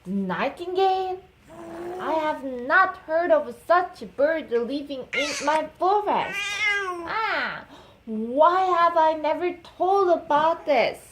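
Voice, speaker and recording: A woman's voice: sits high, lively intonation, slow pace, pitched above the performer's own voice. A woman voicing an imperious, indignant king from a storybook, exclaiming and demanding in a noisy home recording. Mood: indignant astonishment. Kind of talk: storybook read-aloud for a baby.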